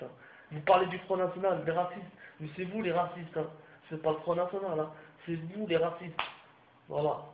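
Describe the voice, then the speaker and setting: A young man from France ranting straight to his viewers, heated and repetitive, with crude slang. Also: on the high side. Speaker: vlogger. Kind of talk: ranting monologue to camera. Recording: roomy, muffled home recording with faint background hiss.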